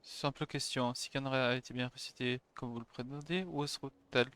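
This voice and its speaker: A man's voice: medium pitch, fairly even intonation, fast, fairly bright tone. Male game streamer with faint background noise. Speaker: game livestreamer. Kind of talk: live game stream, reading dialogue aloud in French.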